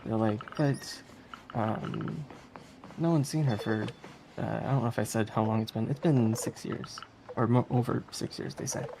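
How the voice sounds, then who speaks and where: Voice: medium pitch, moderate pace, vocal fry, background noise. A speedrunner giving casual live commentary on a game, chatty and giggly, with some hesitation while explaining. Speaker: speedrunner presenting a game run. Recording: game music behind the voice. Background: game music.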